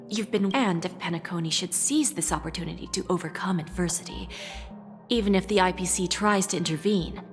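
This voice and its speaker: A woman plays a calculating corporate executive in a video game. She speaks in a medium register with measured courtesy and unshakable poise, over soft background music. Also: mid-range voice, lightly acted. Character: poised, shrewd female corporate negotiator, calmly calculating.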